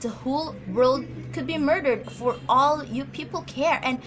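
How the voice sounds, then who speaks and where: High-pitched, nervous, demanding wife played by a woman. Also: measured pace, a bit bright, low-quality recording. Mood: irritated. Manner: imperious, complaining, emotional. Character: nervous, demanding invalid wife, shrill and panicky. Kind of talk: amateur radio drama acting.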